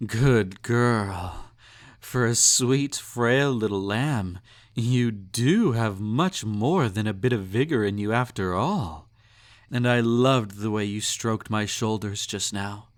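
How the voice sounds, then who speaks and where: Man playing a slow-talking, haughty, possessive emperor. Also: lowish voice. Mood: smug, tender, teasing. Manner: haughty, purring, condescending, deliberate. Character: snobby possessive male emperor, indulgent and menacing. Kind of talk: intimate scripted romantic audio roleplay.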